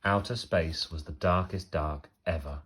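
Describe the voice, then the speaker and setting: Man, low-pitched, expressive storybook reading, emphatic phrasing, teacherly. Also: unhurried, husky.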